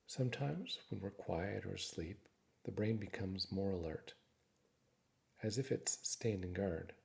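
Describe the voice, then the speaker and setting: Male meditation guide, low-pitched voice with plenty of vocal fry, soothing measured delivery. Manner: calm, soothing, measured with frequent pauses. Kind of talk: guided meditation, gentle scripted narration.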